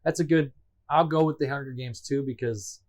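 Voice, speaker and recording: A man's voice: medium pitch, normal speed, darkish tone. A man co-hosting a casual podcast, self-deprecating and prone to repeating himself, laughing often. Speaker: podcast co-host. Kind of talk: casual chat.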